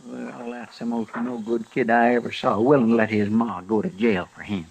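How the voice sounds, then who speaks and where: Folksy deputy, twang, husky n creaky, eager to please, old radio drama. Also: male voice, mid-range voice, flat delivery, moderate pace, a little mellow, low-quality recording. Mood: worried, indignant. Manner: folksy, earnest, deferential. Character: folksy, loyal frontier deputy sidekick. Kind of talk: 1950s radio western acting.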